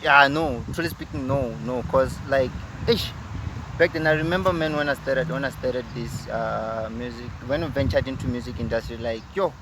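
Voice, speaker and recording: A man's voice: mid-range voice, moderate pace. A young man, a musician chatting casually as a guest. He talks in a laid-back, slangy way. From South Africa, Limpopo. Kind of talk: casual interview chat. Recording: loud outdoor noise with vehicles. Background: loud street and traffic noise.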